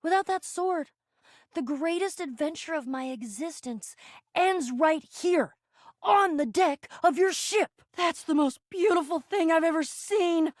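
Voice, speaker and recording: A woman's voice: high-pitched, dry recording, clean studio sound. An actress playing a scrappy, sarcastic young female adventurer in a video game, with a wisecracking, theatrical delivery. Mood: solemn, earnest. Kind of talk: video game character dialogue.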